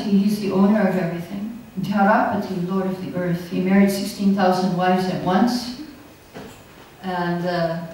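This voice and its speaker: A woman's voice: on the low side, dark tone, a little hiss or hum. An older woman giving a chatty, anecdotal scripture class at a podium mic, often laughing as she teaches. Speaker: class speaker. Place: hall, indoors. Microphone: podium mic on stand. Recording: echoey hall, recorded from a distance.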